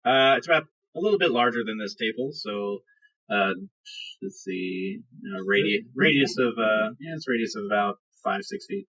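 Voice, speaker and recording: A man narrating a tabletop game as game master, improvising descriptions in a halting storytelling manner. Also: mid-range voice, sing-song, fairly bright tone, husky, lo-fi sound.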